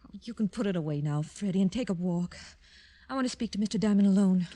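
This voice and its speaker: An actress playing an earnest, pleading female client in an old radio detective drama, polite and soft-spoken. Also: medium pitch, lively intonation, quick pace, close to an everyday voice. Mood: soft, composed. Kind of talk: 1940s-50s radio drama acting. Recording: vintage radio drama recording.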